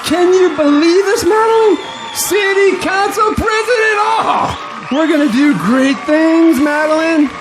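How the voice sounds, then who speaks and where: An actor playing an exuberant, grandiose newly elected politician in an audio drama, with an excitable, speechifying manner. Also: male voice, very high-pitched, measured pace, heavy background noise. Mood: elated.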